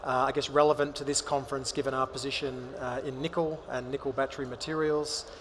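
A man introduces himself on a conference panel in a flat, matter-of-fact voice. The sound carries a long hall reverb and a faint background hum. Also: mid-range voice, fairly quick.

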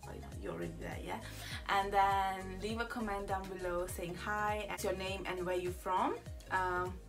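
A woman's voice: mid-range voice, expressive, moderate pace, distant mic, over music. A young woman from Italy chatting casually in a vlog, rambling with lots of fillers and restarts, sitting in a small room. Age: young adult. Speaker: YouTuber. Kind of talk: casual get-to-know-me chat.

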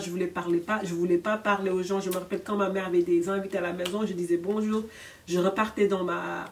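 A woman's voice: medium pitch, far from the mic. A young woman from Senegal chatting candidly in a storytime vlog, laughing often and slipping English words in. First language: French. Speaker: YouTuber. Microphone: camera at chest-up framing.

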